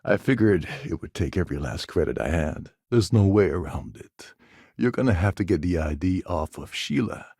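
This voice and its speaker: A man playing a gruff, sardonic space pirate con man in a video game, with a gravelly, husky voice and a wry, scheming manner. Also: deep voice, animated, moderate pace, darkish tone, no room sound, clean studio sound, full character voice. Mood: sly, measured. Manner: wry, sarcastic, world-weary.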